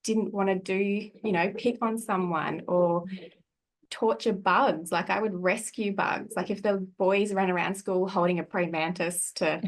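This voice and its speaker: A woman's voice: mid-range voice, steady tone, a little slow, low-quality recording. Reflective Australian woman in her forties. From Australia (country New South Wales). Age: 40s. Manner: reflective, laughs often, many fillers. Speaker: guest, energy healer. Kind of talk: casual conversational storytelling.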